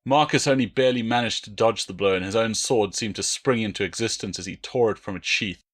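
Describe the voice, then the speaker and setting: A man's voice: on the low side, fairly quick. A man reading his own novel as a dramatic, scripted audiobook narration.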